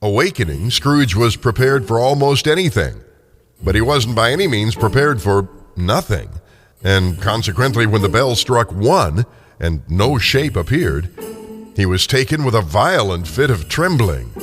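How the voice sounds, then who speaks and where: A man's voice: mid-range voice, a bit bright. A man narrating a classic story dramatically as scripted radio theater. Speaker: narrator and performer.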